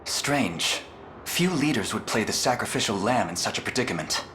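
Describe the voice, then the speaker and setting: An actor playing an earnest, steady fantasy leader in a video game, speaking formally and courteously. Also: male voice, fairly low pitch, normal speed, a little hiss or hum. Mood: earnest, measured.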